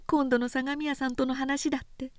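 A devoted young maiden pleads in a high, rushing voice, as a woman reads her part. The tone stays mellow and close, with a dry sound. She speaks fast and urgently. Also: little pitch movement, no room sound, lightly acted. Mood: pleading. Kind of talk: literary reading.

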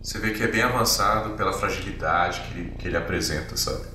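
A Brazilian man working as game master, improvising tabletop RPG narration in a halting, descriptive way with frequent tag questions. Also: low voice, sharp tone, a little hiss or hum. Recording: very echoey room, distant, music behind. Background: music.

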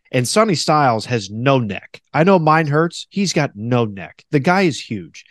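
Lively, animated man hosting a podcast. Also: no room sound, studio quality. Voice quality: deep voice. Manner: animated, breaks into high mocking impressions of radio announcers. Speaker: podcast host; former radio host. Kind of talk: casual podcast chat.

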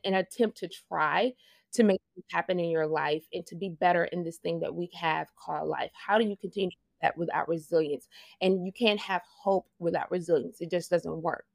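A woman with a Louisiana Southern accent talks in a lowish voice, reflective and earnest, often laughing and starting her phrases over. The recording is close and dry, with no room sound. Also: fairly even intonation, fairly quick, creaky, no room sound. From Louisiana, USA. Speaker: interview guest, fashion project manager. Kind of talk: casual conversational interview.

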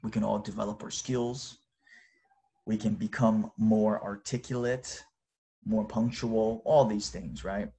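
A man's voice: fairly low pitch, steady tone, a little slow, creaky, dark tone. A man whose native language is Japanese, chatting casually to his listeners in an informal, exclamatory way. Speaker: host.

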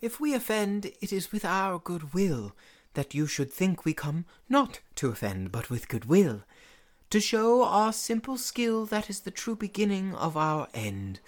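An actor playing an earnest amateur player who declaims a pompous prologue with halting, misplaced pauses in a Shakespeare radio play. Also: medium pitch, sing-song. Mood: nervous, declamatory.

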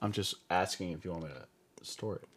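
A young man with a lowish voice, trading deadpan, sarcastic jokes as a podcast guest. He is recorded close and dry, with no room echo. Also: fast talker. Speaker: guest co-host. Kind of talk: casual joking banter. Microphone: studio podcast mic.

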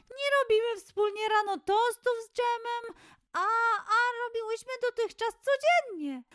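A woman voicing an energetic, jealous little girl monkey in a children's storybook, with a whiny, emphatic, childlike delivery. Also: very high voice, dry recording, clean studio sound, voice pushed up, exaggerated voice. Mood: sad, lonely.

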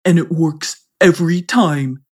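A woman's voice: lowish voice, a little slow, background noise, lightly acted. A woman voicing a mischievous, playful young boy in a storybook reading, teasing and laughing.

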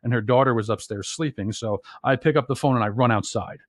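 Loud bass-voiced man from New Jersey. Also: a little fast, no room sound, studio quality. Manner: rambling storyteller, lots of "you know" and restarts. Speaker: podcast co-host. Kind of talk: casual podcast chat.

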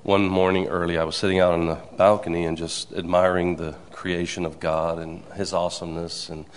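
A man around sixty giving an earnest church testimony, with a gravelly voice and frequent amens. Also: deep voice, level tone, fairly quick. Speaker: congregant sharing a testimony. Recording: reverberant hall.

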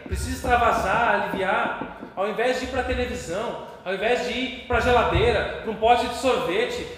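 A Brazilian man preaching a sermon with passion, moving between hushed and loud. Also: extremely high pitch, slight background noise. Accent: Brazilian Portuguese. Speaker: pastor. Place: church hall. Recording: echoey church hall with long reverb.

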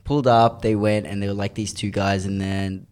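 A man's voice: on the low side, little pitch movement, normal speed, darkish tone. A man interviewing on a podcast in casual chat, informal and prompting with questions.

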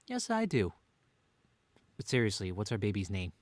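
Actor as doting, wry young father for radio play, lively swinging intonation, no room sound. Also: lowish voice, moderate pace, no room sound. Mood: playful. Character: playfully bickering, doting stay-at-home dad. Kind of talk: two-hander audio drama reading.

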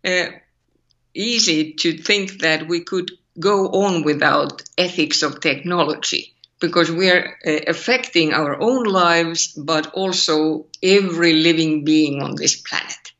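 Finnish-accented woman, slow and bright. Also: sits low, highs cut off. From Finland. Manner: thoughtful, searching, asks rhetorical questions. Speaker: ethics scholar guest. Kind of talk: interview over a call.

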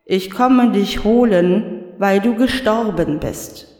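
A man voicing Death as a calm, patient spirit who speaks in a measured, dryly stern way. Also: sits high. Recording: echoey room with long reverb.

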